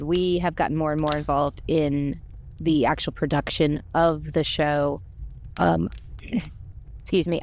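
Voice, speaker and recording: Chatty, lower-pitched woman with background noise. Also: darkish tone. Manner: exuberant, chatty, self-deprecating. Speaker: audio drama voice actor and podcast cast member. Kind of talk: casual conversational storytelling.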